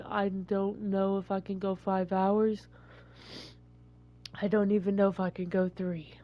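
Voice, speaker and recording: A woman with a bad cold, talking in a rambling, apologetic way as she introduces a video game. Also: medium pitch. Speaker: gaming YouTuber. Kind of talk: casual let's-play intro. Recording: dull, lo-fi home recording.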